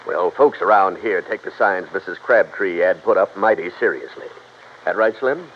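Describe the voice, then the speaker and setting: A man's voice: sits low, moderate pace, close to an everyday voice. An actor playing a sly, folksy American small-town businessman with a taunting manner in an old-time radio drama. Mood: sly, goading. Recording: dull, hissy vintage radio recording.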